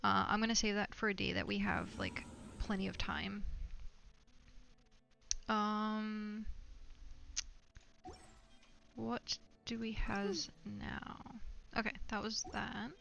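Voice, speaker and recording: A woman's voice: mid-range voice, animated, slow, creaky, pushed and bright, a little hiss or hum. A woman doing casual live game stream commentary, playful and muttering to herself with sing-song filler.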